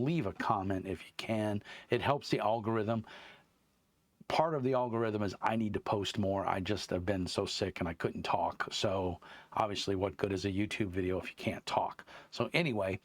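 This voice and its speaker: A deep-voiced man talks casually to his viewers about game books, wandering off on tangents and filling pauses with ums and uhs. His low voice sits close and dry on a clip-on wireless mic, with almost no room sound. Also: dry recording. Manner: meandering, many fillers and restarts. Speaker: YouTube channel host reviewing tabletop game books. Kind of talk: casual rambling chat to camera.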